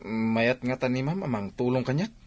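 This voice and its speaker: An actor playing a modest, hesitant young driver in a radio drama, with an Ilocano Filipino accent. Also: male voice, medium pitch, lively intonation, normal speed, darkish tone, natural voice.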